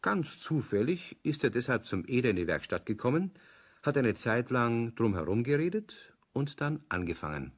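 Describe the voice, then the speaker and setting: A man narrating a scripted German children's radio play as its storyteller, with a husky voice. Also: medium pitch, big pitch swings, moderate pace.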